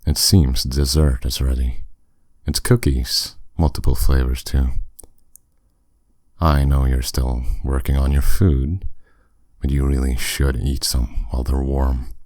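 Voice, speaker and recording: A man playing a powerful, courteous demon captor in an ASMR roleplay, speaking with smooth, teasing, condescending authority. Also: a bass voice, little pitch movement, darkish tone, dry recording, studio quality. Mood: doting. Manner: smooth, condescending, unhurried, with endearments.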